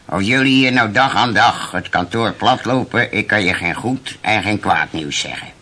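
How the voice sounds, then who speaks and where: A man's voice: fairly low pitch, expressive, moderate pace, bright, forceful tone. A male actor playing a grumpy, dismissive office clerk in a Dutch radio play, giving blunt, short answers.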